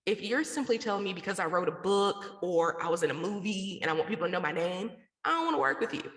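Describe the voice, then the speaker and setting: A woman's voice: mid-range voice, expressive, quick pace. American lady from the south, casual slangy interview talk, kinda echoey and reverby. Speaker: interview guest, podcast network founder.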